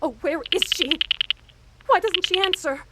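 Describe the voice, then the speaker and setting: A woman's voice: very high voice, unhurried, very noisy. A woman playing a frantic, self-pitying invalid wife in a 1940s-style radio suspense drama, nervous, demanding and hysterical. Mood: agitated demanding.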